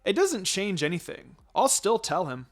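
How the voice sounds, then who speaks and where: A man's voice: high voice, sing-song, moderate pace, voice pushed up. A man voicing a stubborn, pouting little boy in a story reading, cheeky and whiny as he bargains.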